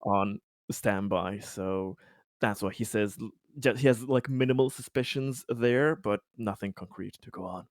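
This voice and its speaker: A man who plays a tabletop roleplaying game, talking casually in halting, repeated phrases and swearing freely. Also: on the low side, no room sound, clean studio sound. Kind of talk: casual game chat over voice call.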